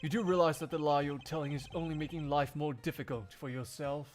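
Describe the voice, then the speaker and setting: A man voicing a cool, stern investigator in a measured, formal way for a game fandub. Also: on the high side, fairly even intonation, soft background music, natural voice. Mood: stern, probing. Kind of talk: video game fandub acting.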